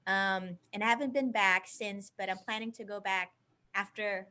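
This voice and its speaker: A woman from the Philippines, a musician guest in a casual interview, earnest and quick to giggle. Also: mid-range voice, steady tone, pushed and bright. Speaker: singer, guest.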